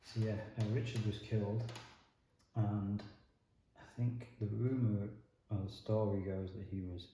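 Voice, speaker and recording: A man with a strong Yorkshire accent from Leeds, chatting in a relaxed, meandering way while doing a jigsaw puzzle at his dining table. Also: fairly low pitch, unhurried, a little hiss or hum. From northern England. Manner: meandering, thinking aloud, many ums. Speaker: jigsaw video host. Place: indoor dining room at a wooden table. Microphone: camera facing the table, speaker off frame. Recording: very echoey room.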